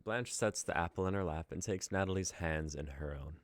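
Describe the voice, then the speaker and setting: A man's voice: deep voice, a little fast, no room sound. A young man reading scripted story narration and stage directions as the narrator of a dramatic reading. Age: young adult.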